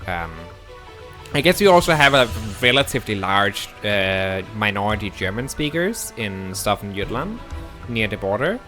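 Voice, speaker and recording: A man's voice: fairly low pitch, very animated, moderate pace, pushed and bright, faint music behind. A man, an English speaker, chatting casually as a live streamer, rambling and self-deprecating with frequent restarts. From Denmark. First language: Danish.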